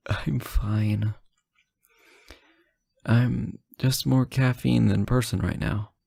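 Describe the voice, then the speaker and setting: A man playing a sleep-deprived, teasing boyfriend on an intimate late-night call, soft-spoken, hesitant and gently joking. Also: medium pitch, steady tone, very slow, creaky, breathy edge, dry recording, heavily acted. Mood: tired, defensive. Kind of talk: intimate audio roleplay, one side of a phone call.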